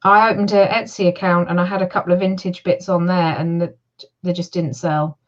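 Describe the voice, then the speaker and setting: A woman's voice: on the low side, fairly even intonation, a little fast. A woman from Suffolk with a southern English accent, a guest chatting casually, with a hesitant, filler-filled way of talking. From Suffolk, England. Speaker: guest, reseller. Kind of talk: casual interview chat. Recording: muffled lo-fi call audio.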